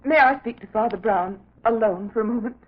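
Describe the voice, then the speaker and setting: A woman's voice: a little high, a little fast, slightly rough. A young woman acting a distressed, earnest daughter of a murdered man in a radio drama. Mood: grave, pleading. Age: young adult. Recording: dull lo-fi radio sound.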